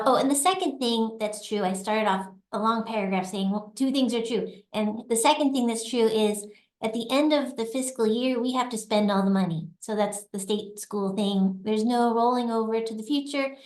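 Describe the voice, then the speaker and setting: Chatty woman on an echoey video call. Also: fairly high pitch. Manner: chatty, laughs easily, self-correcting. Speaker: assistant dean of a graduate school, guest. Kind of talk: conversational interview answers.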